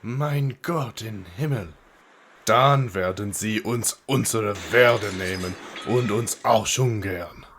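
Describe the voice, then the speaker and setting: An actor playing a grim, fanatical German army corporal in an audio drama, speaking sternly and deliberately. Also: male voice, fairly low pitch, a bit bright, a little hiss or hum. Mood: fanatical, foreboding.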